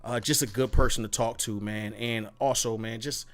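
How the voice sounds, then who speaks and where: A man hosting a casual gaming podcast, talking loosely in slang with plenty of swearing and shout-outs to his chat. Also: fairly low pitch, steady tone, normal speed. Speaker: podcast host.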